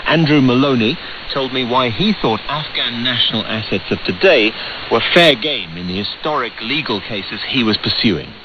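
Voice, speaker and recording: A man's voice: medium pitch, lively intonation, normal speed. Man interviewing over noisy, dull shortwave radio. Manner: challenging, direct questioning. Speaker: news interviewer. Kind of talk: probing broadcast news interview.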